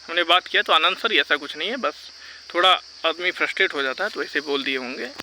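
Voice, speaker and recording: Man, high-pitched and piercingly bright, insistent informal advice-giver, faint outdoor noise behind. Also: fast talker, poor audio. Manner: insistent, repeats points; mixes English words into speech. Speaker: vlogger advising exam candidates. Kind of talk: informal argumentative advice talk.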